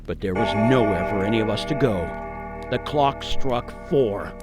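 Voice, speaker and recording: A man's voice: fairly low pitch, unhurried, loud music behind the voice. A man narrating a scripted radio story in a theatrical, suspenseful, dramatic way.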